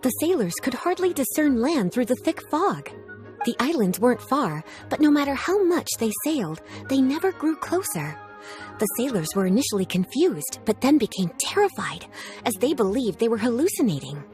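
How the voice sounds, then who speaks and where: A woman's voice: a little high, very animated, moderate pace, a little mellow, faint music behind. A woman voicing an elegant, teasing, doting librarian in a video game, with genteel and composed phrasing. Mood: storytelling, mysterious. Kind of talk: video game character acting.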